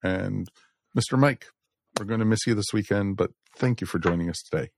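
A man's voice: fairly low pitch, a little fast, creaky, slight background noise. A man hosting a podcast, chatting casually and addressing listeners in a folksy way. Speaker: podcast host.

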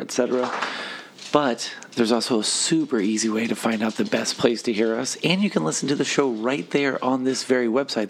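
Podcast co-host, man, gravel in the voice and a bit hoarse, crude rambling humor, faint noise behind. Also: medium pitch. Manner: profane, joking, rambling. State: slightly hoarse. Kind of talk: crude casual podcast banter.

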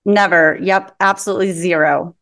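Chatty young woman, lowish voice. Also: steady tone, moderate pace, fairly bright tone. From Chicago area. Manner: chatty, lots of "like". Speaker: guest, social media manager. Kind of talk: casual interview chat.

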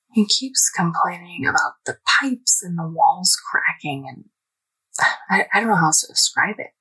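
A woman plays a dreamy young woman speaking into her sleep diary in a low, gravelly, breathy voice. The sound is also bright and edgy. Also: heavily acted, poor audio. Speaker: diarist. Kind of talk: sleep diary monologue in an audio drama.

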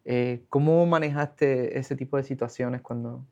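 A man's voice: medium pitch, steady tone, a little slow, a little mellow. Male interviewer, casual, rambling questions, muffled lo-fi audio. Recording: dull lo-fi sound.

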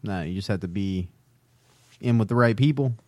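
A man's voice: lowish voice, normal speed, a little mellow, no room sound. A man in his twenties co-hosting a podcast, chatting casually in a joking, playful manner. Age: 20s. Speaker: podcast co-host. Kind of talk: casual chat.

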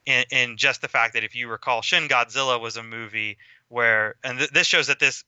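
A man speaking as a podcast guest in casual film discussion, talking in a measured, explanatory way at length. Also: medium pitch, fairly even intonation, sharp tone, smooth, no room sound, highs cut off.

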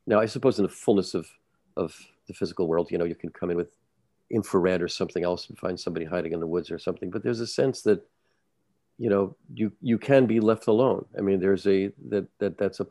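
A man, a journalist, talking casually on a podcast, pausing and restarting his sentences often. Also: deep voice, mellow, no echo, clean studio sound. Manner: halting, many restarts and repeated words.

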